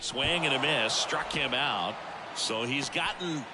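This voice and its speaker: A man's voice: mid-range voice, takes it slowly, natural voice. A man voicing a baseball play-by-play announcer in a video game, describing the action as it unfolds. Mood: engaged, descriptive. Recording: game broadcast under very loud noise.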